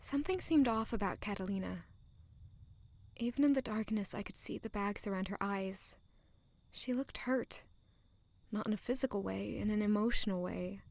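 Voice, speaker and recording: An actress playing a playful, observant young noblewoman in an audio drama, with a soft, expressive delivery. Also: medium pitch, moderate pace, gravelly, no room sound. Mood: hushed, intimate. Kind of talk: audio drama dialogue and first-person narration.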